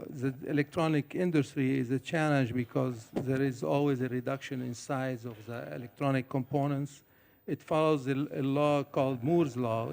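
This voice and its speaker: Gravelly Lebanese-born man on a panel. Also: mid-range voice, darkish tone. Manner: hesitant, many fillers. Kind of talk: conference panel talk. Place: conference hall.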